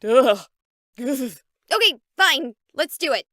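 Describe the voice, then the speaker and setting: A woman playing an excitable, chatty young streamer exploring a haunted place in a visual novel, with an expressive, playful delivery. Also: sits high, slow, dry recording, exaggerated voice. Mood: dread, exasperated.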